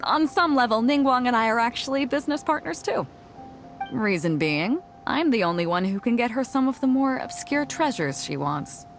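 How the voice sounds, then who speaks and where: A self-assured pirate captain from a video game, speaks with wry confidence at a middle pitch. Her delivery is lively and teasing, like someone who enjoys explaining her schemes. Light music plays behind her. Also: normal speed. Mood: confiding, amused. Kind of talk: voiced video game dialogue.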